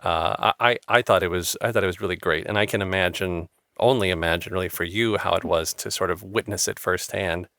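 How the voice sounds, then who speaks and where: A man hosting an interview podcast, talking in a halting way with many restarts and fillers. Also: deep voice, steady tone, creaky, a bit bright, no echo. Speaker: podcast host. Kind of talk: interview chat.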